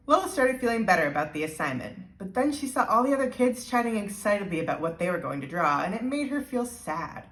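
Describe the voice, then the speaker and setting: A woman reads a children's story in a medium-pitched voice. Her narration is deliberate and expressive, with careful emphasis, and the room gives it a clear echo. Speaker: storybook reader. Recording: roomy, echoey sound.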